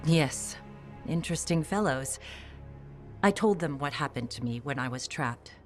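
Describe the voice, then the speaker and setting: An actress playing a gentle, confused woman in video game dialogue who speaks hesitantly about uncertain memories. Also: low voice, animated, faint music behind. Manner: hesitant, halting, soft.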